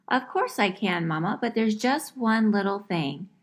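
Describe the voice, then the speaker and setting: A woman's voice: medium pitch, lively intonation, unhurried, close to an everyday voice. A woman voicing a naive, foolish little mermaid girl in a puppet show, with a singsong manner. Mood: scared, whiny.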